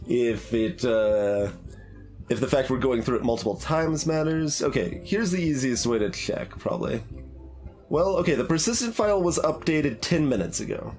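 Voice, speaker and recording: A man giving casual, halting playthrough commentary as a game streamer, thinking aloud in an analytical, musing way. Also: fairly high pitch, darkish tone.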